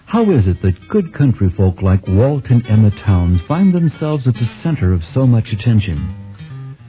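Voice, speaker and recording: Dramatic storyteller, measured narration, very expressive, narrow radio sound, faint music. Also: male voice, lowish voice. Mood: wistful storytelling. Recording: phone-like old radio recording, faint music.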